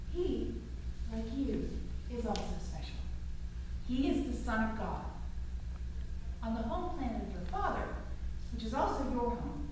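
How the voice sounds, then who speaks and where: In a very echoey hall, far from the mic, a woman plays a devout mother on stage. Her voice is fairly high, and she explains things to her son with solemn, earnest care, phrase by deliberate phrase. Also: background noise, natural voice. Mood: earnest, preachy. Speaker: actor playing a mother. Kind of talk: staged reading of a novel, monologue. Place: indoor performance venue, live staged reading.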